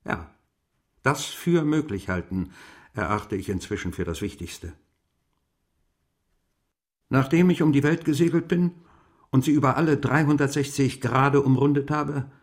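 An actor playing a reflective, world-weary French explorer of the eighteenth century in a German radio play, musing in measured, deliberate phrases. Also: male voice, medium pitch, natural voice. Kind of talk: German radio play monologue, journal reading.

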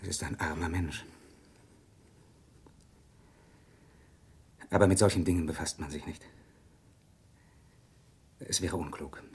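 An actor playing a stern, fearful, respectable Parisian husband, admonishing theatrically in a classic German radio play. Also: male voice, sits low, fairly even intonation, normal speed, slightly rough, exaggerated voice. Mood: reading, wary.

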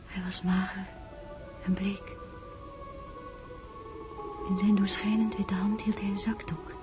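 An actress playing a gentle, grieving sister in a literary radio drama, speaking in a measured, solemn, restrained way. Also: female voice, mid-range voice, close to an everyday voice. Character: Maria, grieving gentle sister of a dying man.

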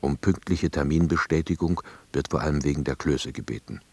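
A man's voice: extremely low pitch, a little fast, husky, dry recording, exaggerated voice. A man playing a pompous bureaucrat's inner voice, dictating formally and officiously in a radio play.

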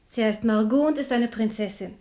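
A woman's voice: a little high, fairly quick, dark tone, lightly acted. A woman as a grave, resolute queen mother. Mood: bitter. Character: proud, devout queen mother, embittered and resolute. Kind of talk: German radio play acting.

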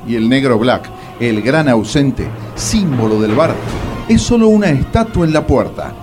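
A male radio serial narrator, played by an actor, with a very animated, swooping delivery. He is theatrical and teasing, telling the story over prominent music. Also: mid-range voice, measured pace, lightly acted. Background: music behind the voice.

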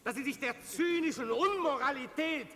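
An actor playing an impassioned, solemn revolutionary orator in a radio drama, declaiming a political speech. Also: male voice, very high voice, normal speed, fairly bright tone. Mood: fervent, declamatory. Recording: long hall reverb, crowd behind.

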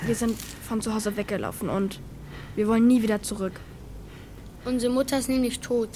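A man's voice: high voice, steady tone, a little fast, mellow, slight background noise, pitched above the performer's own voice. A man voicing small runaway boys, earnest and defiant, in an audiobook.

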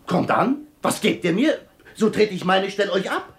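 An actor playing a proud, blunt, jocular dervish in a 1950s German radio play, bantering in a declamatory way. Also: male voice, high voice, expressive, normal speed. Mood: bantering, boastful. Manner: bantering, declamatory, rhetorical questions. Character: proud, jocular dervish turned royal treasurer, male, blunt. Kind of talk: 1950s German radio play acting, classical verse drama. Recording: 1950s radio drama recording.

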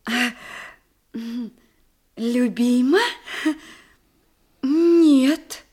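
An actress playing a modest, eager young bride in love, in a theatrical verse comedy for radio. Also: female voice, on the high side, very slow, dark tone, breathy edge, heavily acted. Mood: shy, startled.

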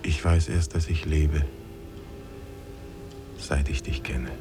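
Fairy tale adventurer, really deep voice, earnest and poetic, old radio play with music behind. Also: male voice, fairly even intonation, slow, exaggerated voice. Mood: tender, enraptured. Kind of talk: 1950s German radio drama acting.

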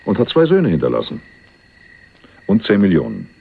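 Deep, gravelly, needling jailbird. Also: male voice, wildly swooping pitch, exaggerated voice. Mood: sly, insinuating. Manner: probing, sardonic, drawn-out teasing. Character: shrewd, needling small-time male jailbird, broke and worldly-wise. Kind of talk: German radio crime drama acting. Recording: dull lo-fi radio recording, faint noise.

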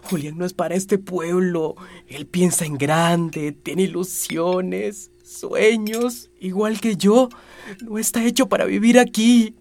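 A man's voice: sits high, steady tone, takes it slowly, a little mellow. An actor playing a restless, stubborn young man in a radio drama, pleading impatiently in Latin American Spanish. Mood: impatient, defiant.

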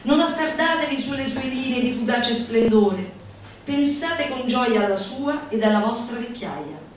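Echoey, high-voiced woman declaiming theatrically. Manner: declamatory, exhortatory, with mock-solemn emphasis. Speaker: stage performer. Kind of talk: theatrical recitation of a satirical manifesto. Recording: very echoey room, distant mic, faint noise.

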